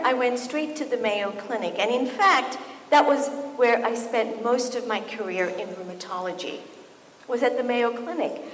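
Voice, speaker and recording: Higher-voiced woman speaking in an echoey hall. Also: fairly bright tone. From Kenya. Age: middle-aged. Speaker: physician and author giving a talk. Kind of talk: public talk at a book event. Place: large resonant university hall. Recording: echoey hall, some background noise.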